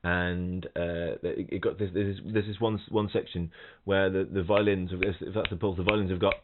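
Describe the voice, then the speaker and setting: A middle-aged musician talks in a low voice, chatty and full of restarts. He sings rhythms and imitates the people in his stories. The sound is narrow and tinny, like a phone call. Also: steady tone, moderate pace, background noise. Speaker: guest, professional trombonist. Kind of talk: casual podcast chat, anecdotes. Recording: thin phone-like audio.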